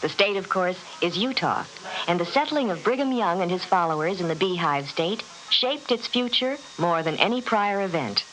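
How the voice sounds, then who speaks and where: A woman's voice: fairly low pitch, lively intonation, normal speed, fairly bright tone, a little hiss or hum, rough recording. A woman announcer reading a scripted informational spot in an old radio broadcast.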